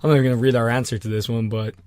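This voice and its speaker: A man's voice: mid-range voice, flat delivery, rapid-fire, a little mellow, no echo. A weary man tells long, joking stories as co-host of a chatty podcast. His voice sits in a middling register, recorded close and dry with no room around it. He wanders from one tangent to the next. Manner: rambling, jokey storytelling. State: tired. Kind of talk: casual banter. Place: small home room.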